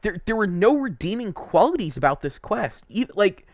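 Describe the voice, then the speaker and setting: A man's voice: high-pitched, very animated, moderate pace, dry recording. A man giving casual, opinionated game review commentary, informal and emphatic, stretching words for emphasis.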